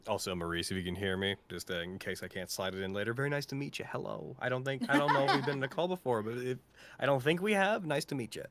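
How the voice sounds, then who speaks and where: A man's voice: medium pitch, expressive, quick pace, a bit bright, slight background noise. A man chatting casually and sincerely on a live Q&A, full of heartfelt praise. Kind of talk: casual, earnest chat and reading aloud.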